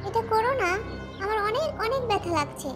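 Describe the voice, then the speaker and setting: Casting: woman as a gentle magic duck, soft and coaxing, very high voice, music behind. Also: animated, fairly quick. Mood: pained. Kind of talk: homemade cartoon voice acting.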